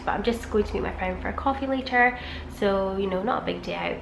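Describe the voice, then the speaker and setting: A woman's voice: medium pitch, creaky. A woman with a nasal, bunged-up voice from a cold, chatting casually through a makeup routine at home. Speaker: beauty vlogger. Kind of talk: chatty get-ready-with-me talk. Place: home room beside a busy street. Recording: echoey room with traffic noise. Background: traffic noise.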